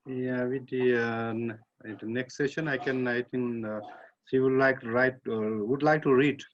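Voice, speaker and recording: A man's voice: medium pitch, steady tone, moderate pace, dull audio, slight background noise. A man with a Nepali accent chatting casually as a parent in a group discussion. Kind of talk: casual chat.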